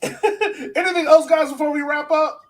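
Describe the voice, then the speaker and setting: A man's voice: very high-pitched, steady tone, moderate pace, a little hiss or hum. An American man hosting a sports podcast in casual, chatty conversation. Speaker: podcast host.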